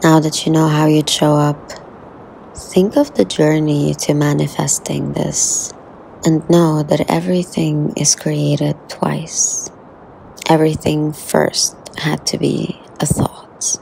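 Slow, creaky low-voiced woman guiding meditation. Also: level tone, darkish tone, a little hiss or hum, rough recording. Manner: soothing, unhurried, with long pauses. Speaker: guided meditation instructor. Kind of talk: guided meditation narration.

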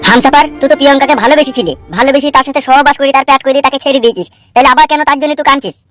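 A man's voice: very high voice, fairly even intonation, rapid-fire, a bit bright. A man voicing a blunt, meddling gang buddy who questions and corrects people in a comedy cartoon, music behind. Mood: probing. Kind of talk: Bengali comedy cartoon dubbing.

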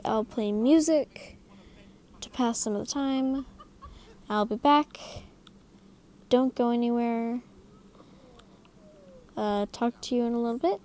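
Young woman, tired, slow, rambling, apologetic, close mic, faint background hiss. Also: a little high, mellow. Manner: rambling, filler-heavy, often apologizing. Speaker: drawing video creator. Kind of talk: casual voice-over narration while drawing. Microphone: mic right next to her mouth.

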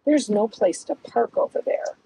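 Mellow middle-aged woman, faint outdoor noise. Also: on the high side, animated, normal speed, rough recording. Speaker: interview guest, local author. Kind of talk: conversational interview answers. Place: outdoors at a beach picnic table.

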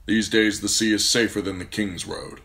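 A man voicing a gentle, grave noble father in an audiobook, calm and measured. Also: on the low side, natural voice. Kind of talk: audiobook character voice.

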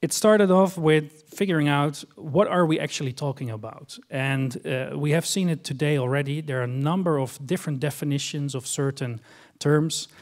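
Dutch-accented man presenting at a conference. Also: a little high. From Netherlands. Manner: measured, explanatory, frequent "uh" fillers. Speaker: conference speaker. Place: large conference hall stage.

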